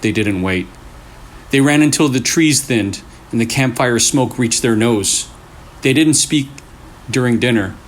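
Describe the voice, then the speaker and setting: A man reading a scary story aloud in short, deliberate phrases with pauses for suspense. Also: medium pitch. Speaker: storyteller, channel host. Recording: clearly noisy room recording.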